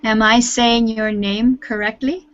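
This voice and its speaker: A woman teaching English over a video call, talking slowly and carefully with a fairly high voice. The sound is muffled and lo-fi, like computer audio. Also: steady tone, a bit bright. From Canada. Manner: slow, clear teacher talk with frequent laughs. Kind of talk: friendly online class chat. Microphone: computer microphone on a video call.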